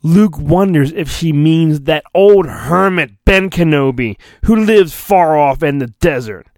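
A man's voice: a little high, animated, a little slow, darkish tone, no echo. A man hosting a podcast, giving a mock-dramatic storybook reading with theatrical, self-mocking asides. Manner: theatrical, self-mocking, often breaks off to mutter. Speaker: podcast host and reader.

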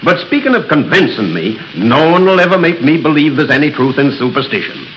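A man's voice: fairly high pitch, very animated, fairly quick, lo-fi sound, slight background noise. A brash scoffing rich father. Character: blustery skeptical rich father, bossy and mocking. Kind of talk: 1930s radio drama acting.

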